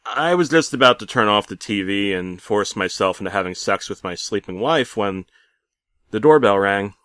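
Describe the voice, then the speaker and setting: A man narrating a comic creepypasta theatrically, swinging between hushed and loud delivery. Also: fairly low pitch, lively intonation, moderate pace, clean tone, no room sound, clean studio sound. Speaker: horror story narrator.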